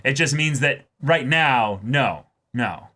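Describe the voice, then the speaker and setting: Bright-voiced man, casual reaction host. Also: medium pitch, animated, takes it slowly. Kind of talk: casual chat, reading out viewer comments.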